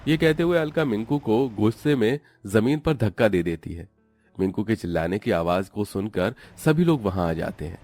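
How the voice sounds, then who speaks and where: A man's voice: lowish voice, expressive, normal speed, a little mellow, no room sound, faint music behind. A man narrating a scripted family cartoon story as the storyteller.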